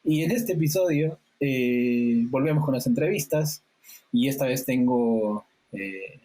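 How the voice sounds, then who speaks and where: A man hosting a podcast interview, talking in a relaxed, friendly way with frequent hesitant fillers. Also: medium pitch, measured pace, poor audio. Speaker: podcast host. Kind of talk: casual interview conversation. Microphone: remote call setup.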